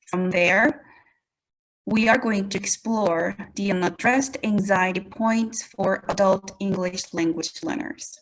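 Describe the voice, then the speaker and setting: A woman from South Korea with a Korean accent presents a prepared webinar talk as an English language educator, speaking deliberately and addressing her audience directly. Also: medium pitch, steady tone, low-quality recording.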